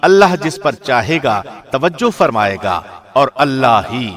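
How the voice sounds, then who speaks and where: A man formally reading a scripture translation in a measured, solemn radio presenter's manner. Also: mid-range voice, big pitch swings, a bit bright. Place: studio.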